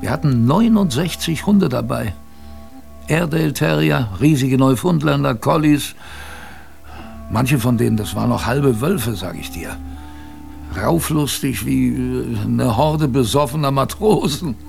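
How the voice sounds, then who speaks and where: An actor playing an old seafarer in a radio drama, colloquially reminiscing about his youth in a gravelly voice. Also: medium pitch, lively intonation, a little mellow, soft background music. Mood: fond reminiscing. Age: older. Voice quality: gravelly, creaky. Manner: colloquial, unhurried raconteur.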